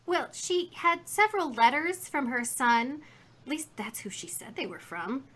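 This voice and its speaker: Garrulous lady shopkeeper, folksy, emphatic, fairly high sharp voice, vintage radio drama. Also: big pitch swings, unhurried, heavily acted. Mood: indignant. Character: chatty, indignant, folksy female shopkeeper. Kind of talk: 1950s radio drama acting.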